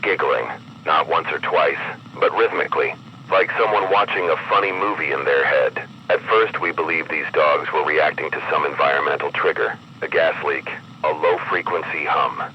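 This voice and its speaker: A man with an extremely low voice narrates a horror-style emergency broadcast. He is grave and measured, with an ominous announcer's delivery. The sound is dulled, with clear noise humming behind him. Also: dull audio.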